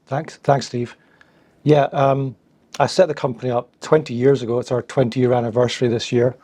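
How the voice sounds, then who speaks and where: A middle-aged man from Scotland with a Scottish accent, answering interview questions in a relaxed way and often repeating words as he thinks. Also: mid-range voice, normal speed, dry recording. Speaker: guest, agency founder.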